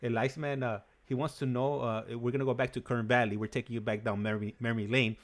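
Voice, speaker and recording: A man hosting a call-in show in casual chat, speaking haltingly with frequent stammered repetitions. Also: mid-range voice, flat delivery.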